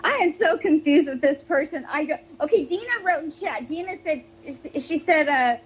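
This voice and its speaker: A woman's voice: sits high, normal speed. A woman chatting and arguing on a livestream call, hesitant and rambling, over a poor phone connection. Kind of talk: casual argumentative livestream chat. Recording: muffled, noisy, breaking-up phone connection.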